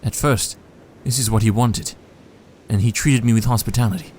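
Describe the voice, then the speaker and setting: An actor playing a haunted survivor of a monster's captivity, recounting formally in a period audio drama. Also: male voice, on the low side, steady tone, normal speed, a little mellow, a little hiss or hum, close to an everyday voice.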